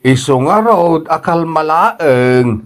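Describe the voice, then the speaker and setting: A man's voice: a little high, lively intonation, slow. A man with an Ilocano accent playing an older father in an audio drama, drawing out his words as he chides his son. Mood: calming, grave.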